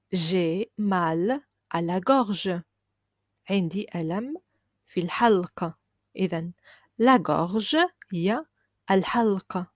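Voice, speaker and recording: A woman teaching a language lesson. She repeats each phrase slowly and clearly, then explains it quickly. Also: mid-range voice, dry recording, studio quality. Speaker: French teacher.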